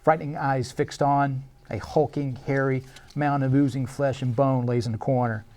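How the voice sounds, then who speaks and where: A man's voice: medium pitch, level tone, moderate pace, a little mellow. A man reading stage directions as narrator at a live screenplay table read, in a scripted narration style.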